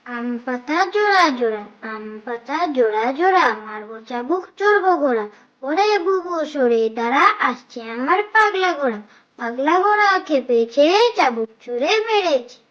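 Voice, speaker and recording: A performer voicing a young child who leads cartoon nursery rhymes in a measured, repetitive sing-song. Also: high voice, lively intonation, a bit bright, muffled recording.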